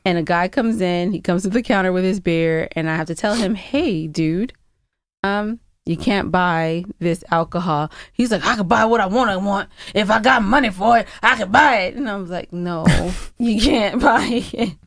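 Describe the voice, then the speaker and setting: A woman's voice: medium pitch, normal speed, dry recording, studio quality. A woman co-hosting a podcast, telling stories in casual, playfully argumentative banter. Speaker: podcast co-host.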